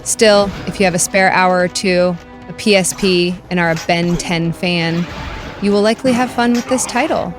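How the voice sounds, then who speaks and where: A woman with a slightly low voice delivers a scripted game review as narration. Clear music plays behind her throughout. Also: steady tone, normal speed.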